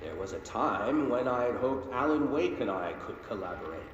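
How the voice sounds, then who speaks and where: An actor playing an arrogant, self-important male doctor who dictates research notes in a deliberate, pompous way for a video game. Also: mid-range voice, very animated, lo-fi sound, natural voice. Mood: grandiose. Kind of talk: scripted video game monologue, audio log. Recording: echoey hall, long reverb, loud noise.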